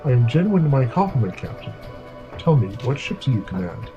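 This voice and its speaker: A man's voice: mid-range voice, very animated, brisk, muffled recording, music in the background, lightly acted. A man playing a dignified senior Vulcan captain in an audio drama, speaking formally and stiffly. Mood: courteous. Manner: formal, stilted. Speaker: voice actor.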